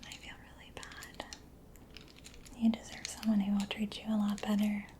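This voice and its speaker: A woman speaking in a soft, breathy whisper for an ASMR roleplay, playing a gentle, reassuring mechanic, close to the microphone. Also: medium pitch, monotone, normal speed.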